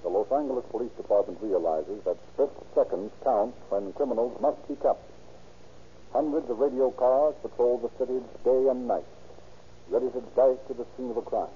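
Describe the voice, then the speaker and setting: A formal male announcer delivers scripted radio narration in an emphatic 1930s manner. His declamatory voice comes through a muffled old broadcast recording with clear background noise. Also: medium pitch, moderate pace, long pauses.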